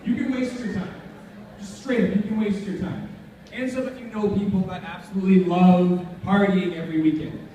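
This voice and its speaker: A young man with a high voice gives an informal motivational talk, speaking directly to his listeners. He is far from the mic in a very echoey space, with clear noise on the recording. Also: steady tone, moderate pace, dark tone. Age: young adult. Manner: informal, chatty, addressing the audience directly. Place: large echoey room, before a live audience. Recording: very echoey room, distant mic, noisy.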